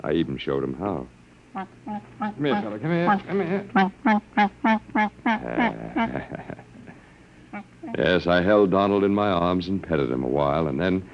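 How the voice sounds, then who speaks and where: Deep-voiced, wry American man, old radio drama. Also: very animated, slight background noise, full character voice. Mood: musing, playful. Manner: halting, musing, coaxing. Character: earnest, wry man, last survivor, gently wooing. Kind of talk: 1950s radio drama acting. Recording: muffled vintage 1950 radio broadcast.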